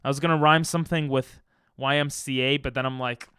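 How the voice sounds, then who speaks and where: A man's voice: mid-range voice, fairly even intonation, a little fast, bright, forceful tone, clear, resonant tone, dry recording, clean studio sound. A man whose first language is Hebrew, chatting casually on a game stream, reading chat aloud and breaking into silly freestyle rhymes. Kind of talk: casual live-stream chat while gaming.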